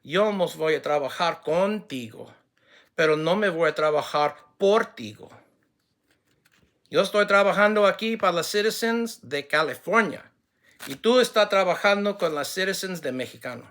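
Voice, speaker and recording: A man who speaks English natively, making a formal direct address to camera in halting, non-fluent Spanish full of restarts, switching to English, earnest and ending with blessings. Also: sits high, lively intonation, a bit bright.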